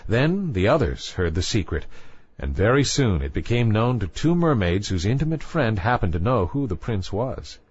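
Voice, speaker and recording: A man's voice: lowish voice, sing-song, normal speed, a little mellow, slightly rough, dull audio. A man reading a scripted bedtime fairy tale as a storyteller.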